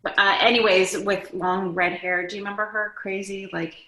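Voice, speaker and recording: Middle-aged female interview guest, informal and rambling, forceful bright tone, roomy echoing sound. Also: medium pitch, level tone, normal speed. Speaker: guest, daughter of an interviewed actor. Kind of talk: casual chat, reminiscing.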